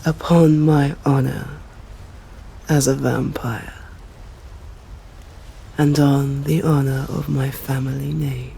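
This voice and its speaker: A woman with an English accent voicing a stern, proud female vampire in an ASMR roleplay, speaking formally and deliberately and often slipping into whispers. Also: a bass voice, level tone, vocal fry, dark tone, breathy edge, heavily acted, poor audio. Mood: solemn, indulgent. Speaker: voice actor. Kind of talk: ASMR roleplay, scripted dramatic monologue.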